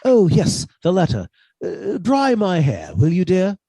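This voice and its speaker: A man's voice: high-pitched, huge pitch swings, unhurried, warm tone, husky, no echo, exaggerated voice. A man playing a mannerly, henpecked professor who is secretly a scheming killer, with formal old-fashioned phrasing, in a radio suspense play. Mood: jovial, evasive. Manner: formal, old-fashioned turns of phrase, hesitant when flustered.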